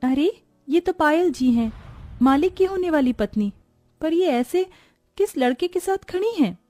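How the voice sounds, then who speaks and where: Cartoon maidservant, young woman, played by a female narrator: high, earnest, pleading, loyal and worried, dry sound over music. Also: animated, measured pace, mellow, dry recording. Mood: shocked. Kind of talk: Hindi moral-story cartoon acting.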